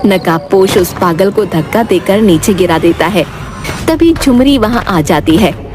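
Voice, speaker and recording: A woman narrating an animated moral tale as scripted storytelling. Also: medium pitch, a little slow. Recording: music behind the voice.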